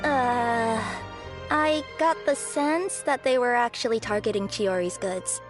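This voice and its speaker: A woman's voice: on the high side, slow pace. A woman voicing a young cat yokai courier, bubbly, sheepish and apologetic, in a video game. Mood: explaining. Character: young female cat yokai courier with two tails, cheerful and flustered. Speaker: delivery courier character. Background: game music.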